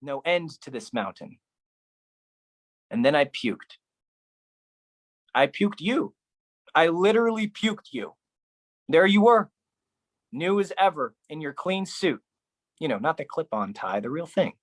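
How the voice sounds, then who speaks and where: Slow, deliberate theatrical solo performer. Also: mid-range voice, big pitch swings. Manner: dramatic, deliberate phrasing with pauses and repetition. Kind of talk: theatrical poetic monologue, spoken-word solo performance.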